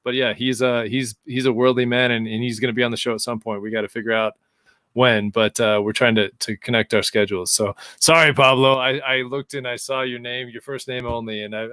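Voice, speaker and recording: A man hosting a podcast, rambling and self-deprecating as he trips over his words. His voice sits at an ordinary pitch and sounds dry and close to the mic. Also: a bit bright, dry recording. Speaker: podcast host. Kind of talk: casual interview chat.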